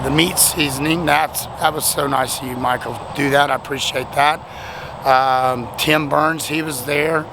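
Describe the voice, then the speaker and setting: A man, his voice nearly gone from talking all weekend, chatting in a rambling, self-deprecating way at a roadside rest stop. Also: medium pitch. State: voice nearly lost, hoarse. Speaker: vlogger. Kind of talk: casual thank-you vlog. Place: outdoors at a highway rest stop, traffic nearby. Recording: outdoor traffic noise.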